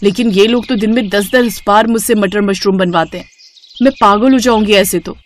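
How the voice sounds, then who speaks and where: A woman's voice: medium pitch, moderate pace, close to an everyday voice. A woman voicing a sweet young bride in a cartoon story, earnest, polite and anxious to please. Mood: worried.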